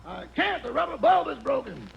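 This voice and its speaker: A man acting a wry, familiar manservant and driver with a gravelly voice and dry comebacks in 1940s radio comedy. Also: extremely high pitch, very animated, fairly quick, full character voice. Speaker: comic sidekick on a radio show. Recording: dull, very noisy vintage radio recording.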